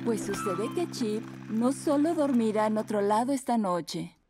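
A woman voicing a kindly, cheerful adult panda mother in a Latin American Spanish cartoon dub, gentle and nurturing. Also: on the high side, expressive, unhurried. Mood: reassuring. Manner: gentle, nurturing, sing-song. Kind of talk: preschool cartoon dubbing in Spanish. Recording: music under the voice.

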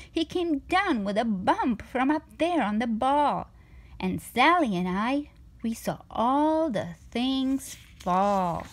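A woman reading a children's storybook aloud with expressive, deliberate, sing-song rhyming delivery. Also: sits high. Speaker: storybook reader.

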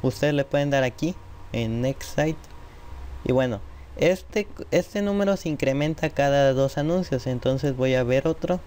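A man's voice: medium pitch, a little slow, a little mellow. A man narrating an informal screen-recorded tutorial step by step, addressing viewers directly. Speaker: tutorial presenter. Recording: noisy home computer microphone recording.

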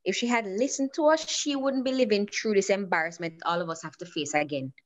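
A woman's voice: mid-range voice, brisk, lightly acted. A woman playing a resentful, embarrassed daughter with a Jamaican accent in a radio drama.

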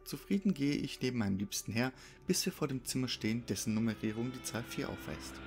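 A man's voice: mid-range voice, animated, quick pace. A man giving a scripted, dramatic narration of a horror story. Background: music behind the voice.

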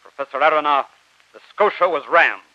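An actor playing a stern, resolute navy commodore with an authoritative, declamatory manner in old-time radio drama. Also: male voice, a little high, a little fast, no room sound, close to an everyday voice. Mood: grave. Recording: muffled dry old radio recording.

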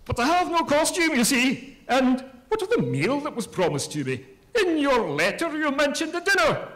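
A man's voice: very high-pitched, very animated, moderate pace, a bit bright, heavily acted. A man playing a grandiose, self-important poet who declaims in rhyme in a theatrical comic reading. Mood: eager, plaintive. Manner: pompous, declamatory, rhyming.

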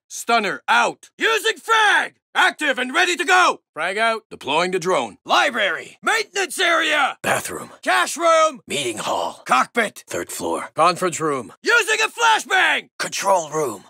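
An actor voicing an armored male shield operator in a video game, giving terse tactical callouts that swing between low murmurs and shouts, recorded dry in a studio booth. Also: vocal fry, slightly rough, dry recording, full character voice. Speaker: game character voice. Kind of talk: game voice lines.

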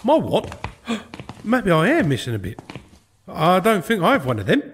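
Man playing a lively, bemused commoner. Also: high-pitched, normal speed, slight background noise. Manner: casual, bemused. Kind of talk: comic audio drama.

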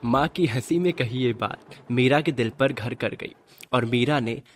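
A man's voice: mid-range voice, measured pace. A man narrating a scripted radio drama as its storyteller. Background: music.

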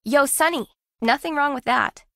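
A cool, scheming woman contestant. She speaks tersely and guardedly in a slightly high voice. The recording is dry and close. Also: normal speed, a bit bright, no echo. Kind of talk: video game voice acting.

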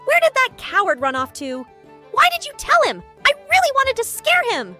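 Woman voicing a high, piercing, excitable cartoon cat. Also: moderate pace, faint music behind, heavily acted. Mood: bossy, scheming. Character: possessive, scheming purple cat, theatrically sweet then snappish. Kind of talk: comic dub character acting.

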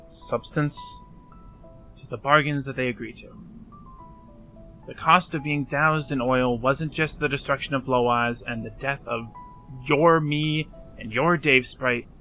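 A man's voice: medium pitch, sharp tone. A man playing an anxious, self-blaming young man in a fan dub drama, speaking earnestly and hesitantly.

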